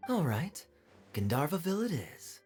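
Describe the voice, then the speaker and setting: A man voicing a suave, teasing knight captain in a video game, with an arch, smooth-talking manner. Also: a little high, very animated, normal speed, over music. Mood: breezy. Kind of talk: video game character acting.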